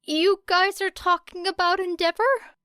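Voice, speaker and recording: A woman's voice: very high voice, fairly even intonation, measured pace, pushed and bright, dry recording, studio quality, voice pushed up, full character voice. A woman voicing an earnest, hesitant teenage boy who stammers in an audiobook story. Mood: nervous, earnest.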